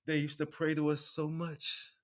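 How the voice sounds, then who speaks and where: A man putting on an indignant, imperious movie god, loudly making grandiose, dramatic proclamations. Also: a little high, completely flat delivery, moderate pace, pitched above the performer's own voice, close to an everyday voice.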